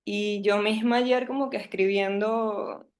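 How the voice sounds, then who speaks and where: A woman's voice: medium pitch, steady tone, measured pace. A young woman from Caracas with a Venezuelan Spanish accent, telling her life story at a workshop in a hesitant, nervous way, full of fillers. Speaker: workshop speaker. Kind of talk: personal storytelling talk.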